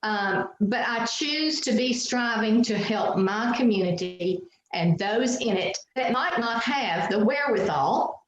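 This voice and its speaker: A woman's voice: fairly high pitch, expressive, measured pace. A middle-aged woman from Southwest Virginia with a strong Appalachian twang, telling folksy stories as an interview guest. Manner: folksy, chatty, laughs easily, many fillers. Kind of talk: casual storytelling interview. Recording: very echoey room with faint noise.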